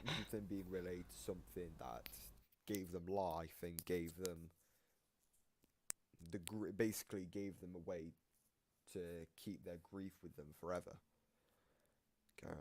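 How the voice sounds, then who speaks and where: A man giving casual, analytical reaction commentary, explaining things in a halting, rambling way that circles back on repeated phrases. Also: low voice, fairly even intonation, darkish tone, slight background noise. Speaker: reactor explaining game voice lines.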